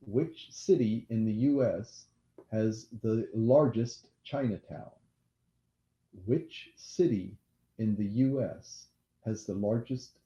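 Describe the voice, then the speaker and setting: A man hosting a casual live-stream quiz game who has a loud voice, repeats each question and apologizes during asides. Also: mid-range voice. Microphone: laptop mic. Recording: echoey room, dull lo-fi laptop audio.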